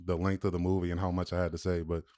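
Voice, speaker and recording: Dude cohosting a podcast, slangy and teasing, very dry studio sound, just chatting. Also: male voice, deep voice, level tone, racing, warm tone, dry recording. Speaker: podcast co-host. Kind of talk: casual, joking podcast chat.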